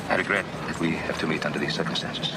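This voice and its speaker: A man's voice: a bass voice, fairly even intonation, fast talker, heavy background noise. An actor playing a formal, polite male police inspector in a 1970s TV drama. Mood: calm.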